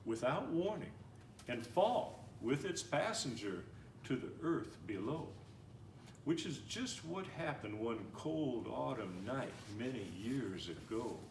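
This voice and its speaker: Male storyteller, lively read-aloud delivery, recorded from a little way off in an echoey room with faint hiss. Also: medium pitch. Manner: gentle, animated storybook reading with slowing and quickening pace. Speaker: storyteller reading a picture book. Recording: roomy, somewhat distant mic with faint noise.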